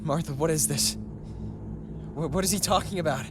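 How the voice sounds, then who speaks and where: A man's voice: fairly high pitch, moderate pace, heavy background noise, close to an everyday voice. A man playing an angry, distrustful young man in a horror game, agitated, confrontational and emotionally raw. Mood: shocked suspicion. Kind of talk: horror game cutscene acting.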